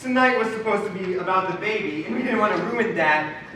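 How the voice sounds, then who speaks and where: A man's voice: sits high, animated, fairly quick, recorded from a distance, a crowd in the background. A man acting a wounded, sarcastic husband in a stage comedy, touchy and sardonic, recorded in an echoey room. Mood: awkward, resigned.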